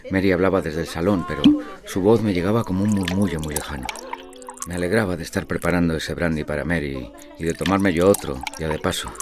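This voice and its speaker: A man's voice: deep voice, a little fast, music in the background, natural voice. An actor playing an impressionable, nervous bank clerk who narrates his haunting in an audio drama. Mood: confiding. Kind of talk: dramatised first-person narration, audio fiction.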